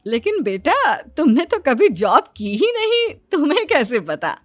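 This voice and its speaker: A woman's voice: high voice, wildly swooping pitch, a little slow, dry recording, clean studio sound, full character voice. A woman playing a proud, questioning middle-aged mother-in-law in a cartoon family drama. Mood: puzzled, questioning.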